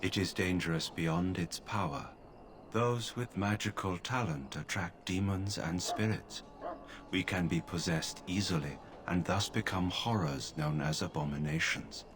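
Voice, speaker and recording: An actor playing an emotionless male mage from a video game, who speaks in a calm, formal, flat way. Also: low voice, unhurried, a little hiss or hum. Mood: detached. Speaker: game character.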